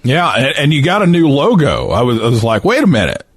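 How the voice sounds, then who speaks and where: Guy hosting a radio call-in, lively up-and-down voice, very dry close sound, chatty and folksy. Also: mid-range voice, a little fast, breathy edge, no echo. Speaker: host of a radio call-in show. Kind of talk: casual interview talk.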